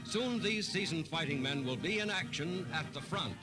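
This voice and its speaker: High-pitched, animated American newsreel announcer man. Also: moderate pace. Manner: dramatic, formal, declamatory announcer delivery. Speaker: newsreel narrator. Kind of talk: 1940s newsreel narration. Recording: vintage 1940s newsreel soundtrack with loud music.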